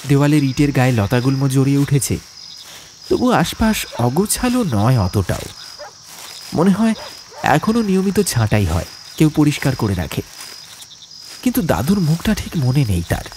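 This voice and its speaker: A man narrates a horror audiobook with dramatic suspense. His mid-pitched voice moves in lively, expressive swings, over a light bed of crickets and night ambience. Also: moderate pace, long pauses, slight background noise.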